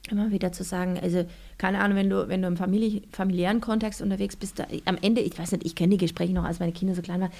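Woman with a gravelly voice. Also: fairly low pitch, steady tone, racing, darkish tone. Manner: reflective, with frequent fillers and restarts. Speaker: author and interview guest. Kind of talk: casual conversational interview.

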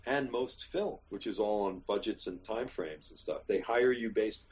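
An older American man, an actor, talking candidly and at length, emphatic, digressive and quick to swear. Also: fairly low pitch, a little mellow, highs cut off. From United States. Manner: rambling, emphatic, swears freely. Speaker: actor and guest. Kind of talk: candid interview chat.

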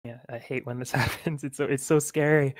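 A man with an average-pitched voice talks casually as a podcast co-host. He speaks haltingly, circling back and rewording as he thinks aloud. The recording is dry, with no room sound. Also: fairly even intonation, no room sound. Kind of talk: casual chat.

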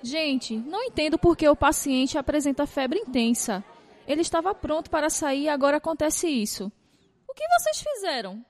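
A woman playing a high-voiced strict nursing teacher. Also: lively intonation, moderate pace, darkish tone, some chatter behind. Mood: stern. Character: strict female nursing teacher supervising interns. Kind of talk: amateur audio drama acting.

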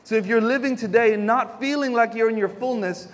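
A man preaching, his voice sitting notably high. He talks to the congregation in a loose, chatty way, full of everyday stories and stumbling restarts. The sound carries a long reverb, as in a large church hall. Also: a little fast. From Philippines. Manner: conversational preaching with homely stories and frequent restarts. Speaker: pastor. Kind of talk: sermon.

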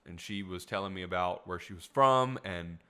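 A man's voice: low-pitched, normal speed, bright, forceful tone, smooth. A young man hosting a solo podcast, rambling with restarts and asides, his voice getting tired. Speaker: podcast host; music teacher. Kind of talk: casual solo podcast talk.

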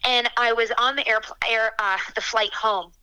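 A young woman singer-songwriter answering interview questions in a chatty, frank way, with quick asides and fillers. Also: on the high side, fairly even intonation, pushed and bright, smooth, rough recording.

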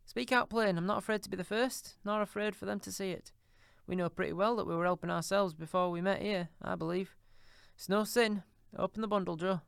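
A brazen, scheming old charwoman voiced by a man shrills and cackles through her defiant lines, pitched far above a natural speaking voice. The sound is close and dry, as in an audiobook booth. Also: steady tone, brisk, vocal fry, fairly bright tone, clean tone, no echo. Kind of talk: audiobook character reading.

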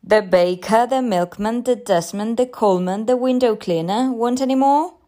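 A woman's voice: fairly high pitch, normal speed, warm tone, pitched above the performer's own voice, natural voice. A woman narrator voicing a sceptical, reassuring husband in a story, offhand and rational. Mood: teasing.

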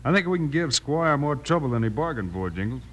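Guy doing american cowboy lawman, commanding bright voice, steady, old radio western, bit of hiss. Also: mid-range voice, a little fast, lightly acted. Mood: businesslike. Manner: firm, plainspoken, authoritative. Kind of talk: 1950s old-time radio Western acting. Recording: old radio recording, faint noise.

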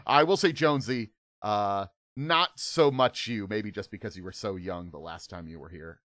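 A hoarse man narrating a tabletop horror game as game master, in a relaxed, conversational way. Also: on the low side, moderate pace, dry recording. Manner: relaxed, conversational, filler-heavy.

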